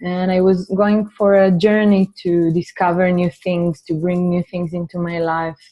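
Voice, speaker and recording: A woman with an Israeli accent speaking gently and informally as she leads a spiritual healing webinar. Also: lowish voice, flat delivery, a little slow, muffled recording. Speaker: spiritual healer hosting a webinar. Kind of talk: guided meditation and informal webinar talk. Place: online webinar from a computer.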